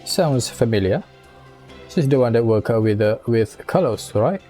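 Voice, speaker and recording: Mellow-voiced man casually commentating a game. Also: medium pitch, lively intonation. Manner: hesitant, halting, joking asides. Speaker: game streamer. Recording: music behind.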